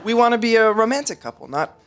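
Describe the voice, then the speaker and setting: An actor playing an eager, awkward husband bluffing with breezy confidence in a sitcom podcast. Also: male voice, high voice, big pitch swings, fast talker, over music. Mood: awkward, earnest.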